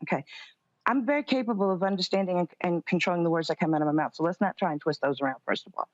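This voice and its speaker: A woman's voice: lowish voice, very fast, no echo. Woman guest debating politics, assertive n guarded, dry room, bit muffled lo-fi sound. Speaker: political guest, conservative commentator. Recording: slightly dull, dry lo-fi audio.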